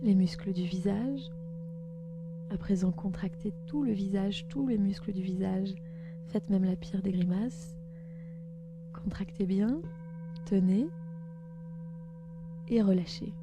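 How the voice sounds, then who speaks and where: A woman host leads a guided relaxation meditation, speaking calmly and gently in slow, spaced instructions. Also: mid-range voice, noisy background. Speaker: podcast host and yoga nidra guide.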